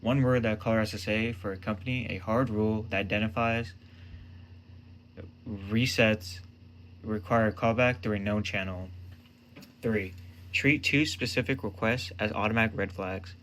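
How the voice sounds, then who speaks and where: A man with a fairly low voice narrates a scripted explainer as its presenter. He speaks in short, emphatic phrases. There is some noise behind his voice. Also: steady tone, moderate pace, a bit bright.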